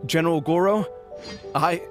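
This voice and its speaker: A man's voice: a little high, moderate pace, over music, natural voice. A man playing a nervous young soldier in a video game, hesitant, formal and deferential. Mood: nervous, earnest. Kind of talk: scripted video game voice acting.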